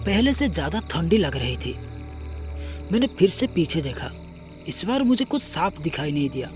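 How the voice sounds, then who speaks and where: A man narrating a scripted first-person horror story in a dramatic, suspenseful way with deliberate pauses. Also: high voice, mellow.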